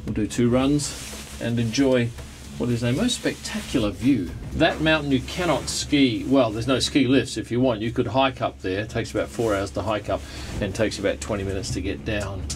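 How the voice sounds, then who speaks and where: A man's voice: medium pitch, normal speed, over music. An Australian man in his sixties giving chatty travel vlog narration. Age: 60s. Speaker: travel vlogger.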